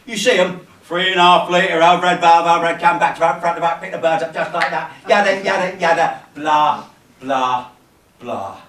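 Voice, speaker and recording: Man putting on a loud, boastful workmate, echoey. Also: a little high, normal speed, a little hiss or hum, close to an everyday voice. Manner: brash, swaggering. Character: loud, boastful, blokey male workmate in tea-room banter. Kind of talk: theatrical comic monologue. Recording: echoey room, distant camera microphone.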